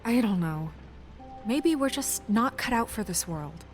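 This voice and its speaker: A woman's voice: a little high, lively intonation, unhurried, soft background music. A woman voicing an earnest, businesslike office administrator in a video game, with naturalistic, hesitant phrasing. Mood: tentative, sincere. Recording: faint score under the voice.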